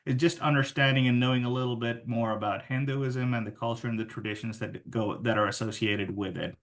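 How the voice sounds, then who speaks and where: A man from South India, a Tamil native speaker, talks through slides in a training session. His voice sits at an ordinary middle pitch. He explains things in a conversational way and often pauses on "um" between points. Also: a bit bright. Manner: conversational, explanatory, with frequent "um" fillers. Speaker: corporate trainer.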